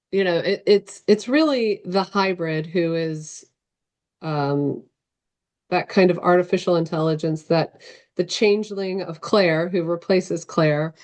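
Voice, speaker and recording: A woman novelist talking casually in an interview on a video call, reflective and halting as she searches for words. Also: on the low side, a little mellow.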